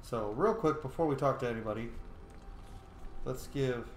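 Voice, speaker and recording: A man's voice: mid-range voice, moderate pace. A man giving casual gameplay commentary, muttering and thinking aloud to himself as he plays a role-playing game. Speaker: gamer playing a role-playing game on camera or stream. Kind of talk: casual gameplay commentary mixed with reading dialogue choices. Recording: roomy echo, faint background noise.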